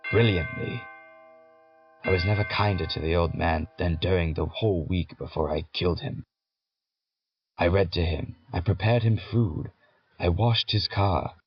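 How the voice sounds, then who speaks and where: A low, slow, obsessive madman. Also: breathy edge, lo-fi sound, heavily acted. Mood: proud, secretive. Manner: deliberate, intense, halting, emphatic repetition. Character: nervous, obsessive madman insisting on his sanity, confessing murder. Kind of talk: gothic audio drama monologue. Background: prominent music.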